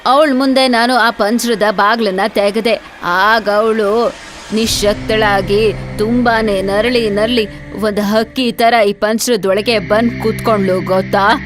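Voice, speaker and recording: A woman voicing a motherly, ominous eatery keeper who tells ghost tales dramatically, with loud music behind her. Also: medium pitch, fairly even intonation, slow pace, lightly acted. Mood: confident, reassuring.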